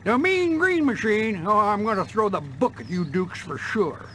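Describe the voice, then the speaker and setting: Threatening, blustery Southern lawman, high and wildly animated, noisy background. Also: normal speed. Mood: blustering. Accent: American Southern.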